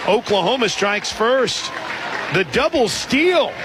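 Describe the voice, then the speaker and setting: A man doing live radio play-by-play of a softball game, mixing pitch calls with shout-outs to listeners. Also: animated, slow, noisy background. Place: outdoor stadium broadcast position. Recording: broadcast with loud crowd and background sound. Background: loud crowd and music.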